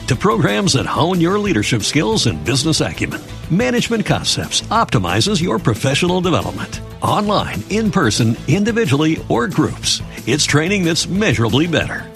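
Creaky, lively male ad announcer. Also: fairly high pitch, normal speed, soft background music. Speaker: advertisement voice-over announcer. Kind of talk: polished scripted ad narration.

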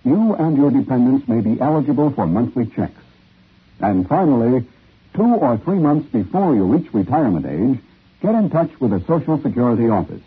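A man's voice: mid-range voice, very animated, moderate pace, husky. A man reading a scripted public service announcement on 1950s radio. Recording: tinny old radio recording.

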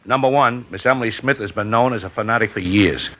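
A man's voice: on the low side, fast talker, pushed and bright, slight background noise. An actor playing a plain-spoken male investigator who reports his findings, in a 1950s radio drama. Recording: dull lo-fi 1950s radio broadcast.

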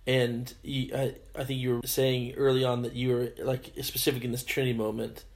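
A man interviewing a guest, speaking gently and reflectively, affirming what she says. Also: mid-range voice, little pitch movement, a little fast. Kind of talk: conversational interview.

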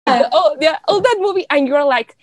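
A young woman from Mexico talks in a chatty, animated way, full of fillers and quick laughs. Her voice sits a little high. The recording is dry and close. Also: no room sound, faint music behind. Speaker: podcast guest. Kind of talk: casual conversation.

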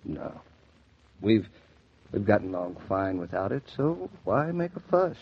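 An actor playing a wry, teasing young husband in 1940s radio drama style, with a dry, bantering delivery and slightly husky voice. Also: male voice, fairly low pitch, big pitch swings, unhurried, a little hiss or hum. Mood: subdued, reluctant. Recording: dull vintage 1940s radio transcription.

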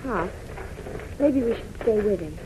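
A woman's voice: mid-range voice, expressive, unhurried, close to an everyday voice. An American actress playing a caring, earnest young woman, anxious for others, in a 1940s radio drama. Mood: worried. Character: caring, worried young female flying companion. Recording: noisy 1940s radio recording.

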